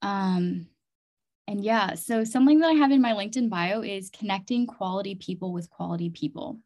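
A woman's voice: medium pitch, moderate pace, a little mellow. A woman from Thailand and North Dakota speaking as an interview guest, telling personal stories earnestly and emphatically, with lots of "like" and "literally". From Chiang Mai, Thailand and North Dakota. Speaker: interview guest, community development leader and leadership coach.

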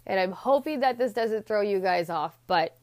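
A woman's voice: mid-range voice, moderate pace, no room sound. Stuffy-nosed woman giving casual pep talk. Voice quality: slightly raspy. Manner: chatty, acts out quoted people in animated voices. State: stuffy, congested nose. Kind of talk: casual motivational monologue.

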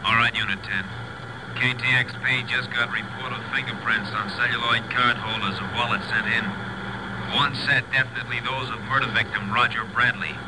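A man's voice: mid-range voice, steady tone, moderate pace, natural voice. Clipped police dispatcher played by a man, noisy recording. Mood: businesslike. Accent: American. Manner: clipped, formal. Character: businesslike police radio dispatcher. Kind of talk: old-time radio drama acting. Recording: noisy vintage radio recording.

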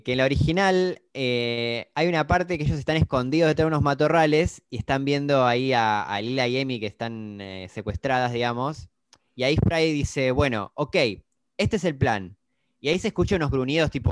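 A man's voice: mid-range voice, normal speed, clean tone, dry recording. An Argentine man, chatting casually as a podcast co-host and retelling cartoon scenes in detail. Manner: storytelling, recounting scenes. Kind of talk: casual chat.

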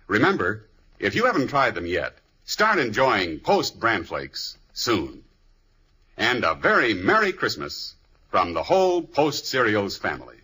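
Low, bright, animated male radio pitchman. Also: measured pace, breathy edge, low-quality recording. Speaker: commercial announcer. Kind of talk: 1950s radio sponsor announcement.

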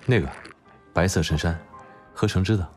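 Man acting a low-voiced, sardonic, commanding guy. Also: moderate pace, music in the background, lightly acted. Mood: dry teasing. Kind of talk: Chinese audio drama acting.

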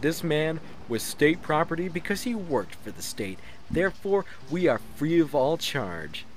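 An actor playing a pompous, defensive would-be artist and bogus expert in a horror comedy audio drama. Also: male voice, on the high side, big pitch swings, unhurried, slight background noise. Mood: pompously insistent.

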